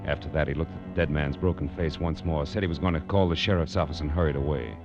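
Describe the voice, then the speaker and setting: A man's voice: extremely low pitch, quick pace, a little mellow. An actor playing a tough, wry private detective in a 1940s radio drama, clipped and hard-boiled as he tells his case. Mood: grim narrating. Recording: dull old radio recording, music behind.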